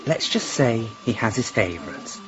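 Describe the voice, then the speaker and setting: An actress playing a snide, unhelpful hair salon receptionist in a radio comedy drama, offhand and insinuating. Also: female voice, very deep voice, animated, normal speed, dull audio, loud music behind the voice.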